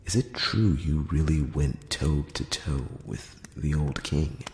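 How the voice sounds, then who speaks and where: Man as a very deep, whispering elf emissary. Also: heavily acted. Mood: gentle, curious. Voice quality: breathy whisper mixed with very low murmur. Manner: hushed, halting, measured, earnest. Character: courteous, solemn male elf emissary, gentle and cautious. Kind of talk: close-up whispered ASMR roleplay. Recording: roomy long reverb, faint noise.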